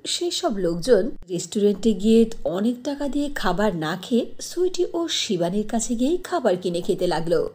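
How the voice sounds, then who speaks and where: A woman narrating a cartoon story in an expressive storytelling manner. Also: on the high side, breathy edge.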